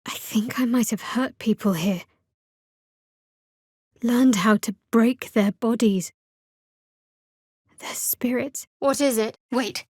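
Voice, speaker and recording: A woman playing a guarded, sardonic young female cleric of a dark goddess in a video game, speaking in a measured, wry way. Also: a little high, slightly rough, no room sound, clean studio sound. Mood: sorrowful, vulnerable, then guarded, insistent.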